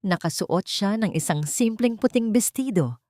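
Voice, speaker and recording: A woman giving dramatic scripted narration of a sentimental story, with solemn, deliberate phrasing. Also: medium pitch, animated, warm tone, no echo, clean studio sound. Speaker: audiobook narrator.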